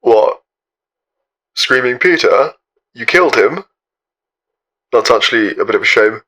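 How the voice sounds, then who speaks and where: A bright, edgy male voice of middling pitch, a man acting as a spaced-out gap-year lad giving comic testimony. He rambles with hesitant deadpan disgust. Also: unhurried, poor audio. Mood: dismayed. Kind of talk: mock documentary interview, comic improvised monologue.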